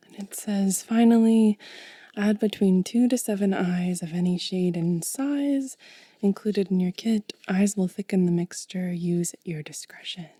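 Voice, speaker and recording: A woman speaking in a soft, breathy whisper as an ASMR host, gentle and unhurried, close to the microphone. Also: medium pitch, dry recording. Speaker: ASMR video creator and host.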